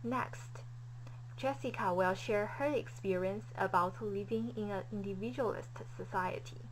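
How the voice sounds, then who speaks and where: A woman's voice: mid-range voice, unhurried, poor audio. A woman from China, a native Chinese speaker with a Chinese accent, giving a scripted student presentation. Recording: faint hum behind voice.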